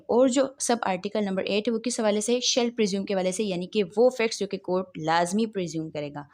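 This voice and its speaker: A woman with a Pakistani accent giving an explanatory law lecture, didactic, posing questions and answering them, repeating key phrases. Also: mid-range voice. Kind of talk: explanatory lecture mixing read-out provisions with explanation.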